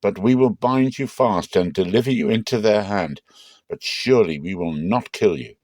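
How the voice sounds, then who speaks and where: A man's voice: fairly low pitch, moderate pace, a little mellow, no room sound, clean studio sound, pitched above the performer's own voice, natural voice. Man voicing an urgent spokesman for tribesmen. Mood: firm. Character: anxious, urgent delegation of men of Judah.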